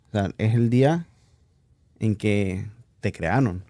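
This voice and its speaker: A man's voice: fairly low pitch, takes it slowly, warm tone, dry recording. A man, chatting casually and reflecting on his own life as a podcast guest. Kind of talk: casual chat, personal reflection.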